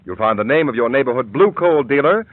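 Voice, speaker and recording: A man's voice: mid-range voice, lively intonation, fast talker, no echo. A man announcing in emphatic, polished 1930s radio style, reading scripted ads and narration. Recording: tinny, dry vintage radio broadcast.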